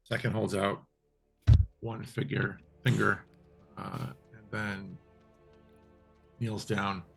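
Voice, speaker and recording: Low, gravelly male player with a husky edge, halting and explanatory, faint music underneath. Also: little pitch movement, fairly bright tone. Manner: halting, with many fillers, explaining the rules. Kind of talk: tabletop game play, describing actions and rules.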